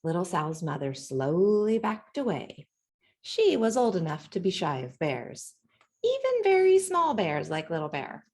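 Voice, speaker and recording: A woman reading a children's picture book aloud in an expressive storytelling manner, acting out the sounds and dialogue. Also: lowish voice, unhurried, slightly rough. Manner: animated, acts out sounds and dialogue. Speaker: storybook reader.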